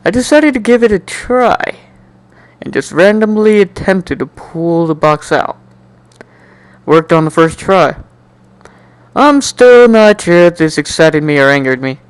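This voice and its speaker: A man doing an amateur dramatic reading of a first-person story in an animated, jokey way, now and then stumbling and repeating words. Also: high voice, a little slow, clean tone, no room sound, low-quality recording. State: coughing, slightly sick. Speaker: amateur fan fiction reader.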